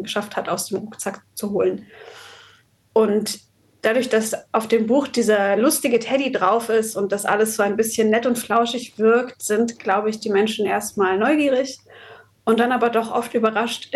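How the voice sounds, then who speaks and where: A woman speaking as an author being interviewed, chatty and reflective, articulate, with the odd English word mixed in. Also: mid-range voice. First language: German. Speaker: author and copywriter, interview guest. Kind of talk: casual, reflective interview conversation.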